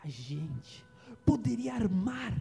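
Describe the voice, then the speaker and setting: A performer voicing Rostabal, a brutal scheming brother in an amateur radio drama, speaking low and conspiratorially. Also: sing-song, slow pace, vocal fry, dark tone, big-room sound, faint music behind, heavily acted.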